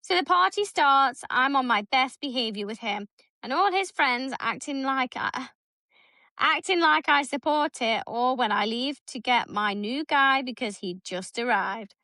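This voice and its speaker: A woman's voice: high-pitched, measured pace, sharp tone, no echo. A woman in her thirties co-hosting a podcast, reading a story aloud in casual chat, blunt and swearing freely. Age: 30s. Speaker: podcast co-host.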